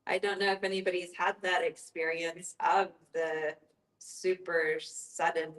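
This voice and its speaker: In an echoey room, a woman hosts an informal discussion. Her voice sits slightly low and she takes her time, speaking at a slow, conversational pace. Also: a bit bright. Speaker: discussion host. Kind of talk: casual group discussion.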